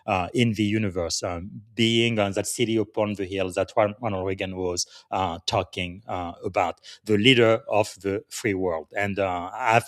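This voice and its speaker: A man from Congo hosting a live podcast, leading a gratitude moment in an earnest, reflective way with halting phrases. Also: on the low side, no echo, studio quality. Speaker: podcast host.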